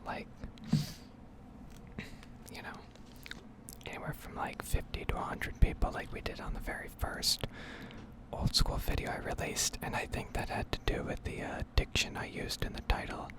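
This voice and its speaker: A woman whispering a rambling, improvised ASMR game commentary in a hesitant, unhurried way, with a breathy, creaky edge. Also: rough recording. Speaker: gaming ASMR creator.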